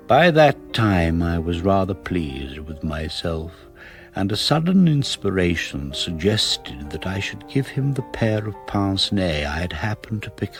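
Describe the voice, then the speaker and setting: A very deep-voiced English murderer, narrates a confession in a radio play over clear music. He is clinical and precise, taking his time, with an arrogant self-satisfaction. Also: full character voice. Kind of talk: radio drama monologue, confession read as narration.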